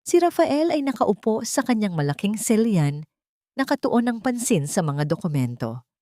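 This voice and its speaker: A woman with a Filipino accent narrating a dramatic audiobook with expressive storytelling. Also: mid-range voice, normal speed, no echo, clean studio sound.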